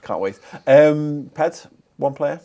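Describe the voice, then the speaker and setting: A man's voice: on the high side, lively intonation, slow pace, dark tone. Bantering male host of a panel. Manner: bantering, laughs often, swears. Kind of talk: casual chat.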